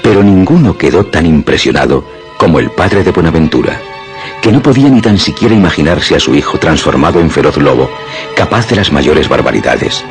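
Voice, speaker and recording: A man's voice: rumbling low, expressive, a little fast. A man with a Castilian accent acting as the grave narrator of a scripted radio suspense drama. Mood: grave, suspenseful. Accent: Castilian Spanish. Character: grave narrator of a werewolf tale. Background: music.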